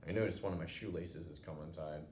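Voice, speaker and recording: American male storybook narrator, low voice, teacher reading aloud, recorded in an echoey room. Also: fast, darkish tone. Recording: roomy echo, noticeable background noise.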